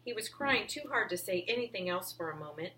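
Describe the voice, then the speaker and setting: A woman's voice: mid-range voice, animated, fairly quick, pushed and bright, slight background noise. A woman reading a children's storybook aloud as a narrator.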